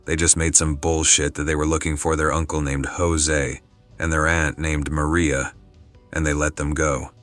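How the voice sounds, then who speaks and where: A man reading forum posts aloud in a monotone. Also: a bass voice, normal speed. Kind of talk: scripted narration. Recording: music underneath.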